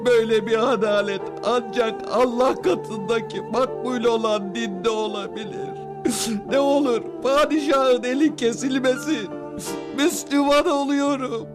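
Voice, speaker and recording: An actor playing a humbled litigant in an animated film, earnest and emotional. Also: male voice, very high voice, unhurried, over music. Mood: moved, pleading. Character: humbled, pleading litigant converting in awe.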